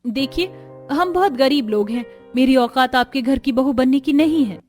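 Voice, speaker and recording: A humble young bride acted by a woman in a cartoon family story, high in pitch, earnest and anxious. Her words are deferential, with faint music behind. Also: normal speed, darkish tone, lightly acted.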